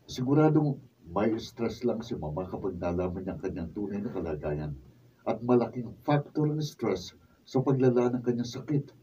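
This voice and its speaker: A man's voice: fairly low pitch, very animated, moderate pace, dark tone. A man playing a protective, stubborn eldest son in a radio drama, speaking firmly and insistently. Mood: worried, insistent. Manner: firm, insistent. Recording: dull, noisy, distant room recording.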